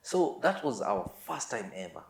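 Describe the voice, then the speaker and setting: Middle-aged Kenyan male interview guest, low-pitched, casual storytelling delivery, roomy echo. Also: sing-song, moderate pace. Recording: echoey room.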